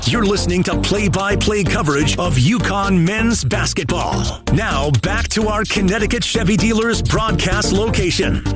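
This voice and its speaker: A man's voice: high voice, expressive, normal speed, hardly any pauses. A man reading a scripted sports radio station ident as an announcer. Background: loud music bed.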